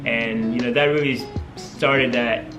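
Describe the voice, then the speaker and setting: A man's voice: medium pitch, fairly even intonation, a little slow, roomy sound, over music. Man with a Tanzanian accent. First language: Swahili. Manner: eager, rambling with many fillers. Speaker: medical student guest. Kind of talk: casual interview.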